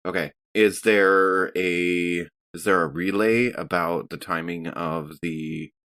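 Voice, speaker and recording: A man with a fairly low voice takes part in a tabletop role-playing game. His delivery is casual table talk, that of a player thinking through his options. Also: steady tone, slow, fairly bright tone, clear, resonant tone.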